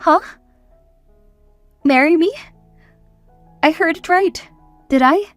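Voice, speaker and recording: A woman's voice: extremely high pitch, slow pace, dry recording, soft background music, voice pushed up. A woman voicing a feisty, exasperated young woman in an audio drama, with exclamatory, protesting delivery. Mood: incredulous. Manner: exclamatory, protesting, switches between Tagalog and English.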